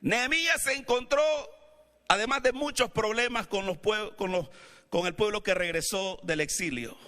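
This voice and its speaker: Man, Latin American Spanish accent, pastor preaching, high voice, reverberant church hall. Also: moderate pace. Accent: Latin American Spanish, not Mexican. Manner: preaching, rising to shouts with congregation calls. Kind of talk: sermon. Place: church sanctuary.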